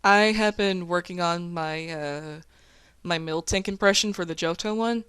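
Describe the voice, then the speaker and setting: A woman's voice: on the low side, unhurried, fairly bright tone, clear, resonant tone, dry recording, clean studio sound. A woman chatting casually as an amateur voice actor hosting a discussion. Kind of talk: casual chat.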